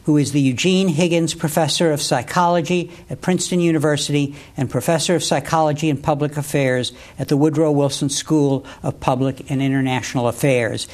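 A man's voice: on the high side, fairly even intonation, fairly quick, few pauses, darkish tone. Man hosting an academic interview. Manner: formal introduction, then hesitant questions with repeated words. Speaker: host, interviewer.

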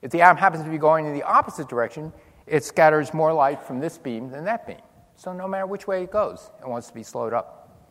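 An older man giving a physics lecture to an audience, telling stories with dry humor and lively demonstrations. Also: fairly high pitch, brisk. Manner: animated storytelling with dry humor. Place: large lecture hall. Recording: long hall reverb.

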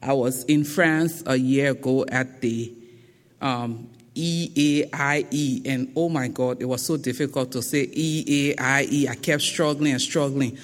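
Slow Liberian woman lecturing in a hall. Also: very deep voice, fairly even intonation. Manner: slow, deliberate, emphatic. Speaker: guest speaker. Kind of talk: public lecture. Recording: long reverb of a lecture hall.

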